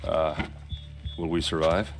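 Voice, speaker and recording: An actor playing a blunt, hot-headed warrior captain in a video game, gruff and bantering. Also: male voice, a bass voice, level tone, takes it slowly, soft background music, heavily acted. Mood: uneasy banter. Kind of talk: dramatic video game voice acting.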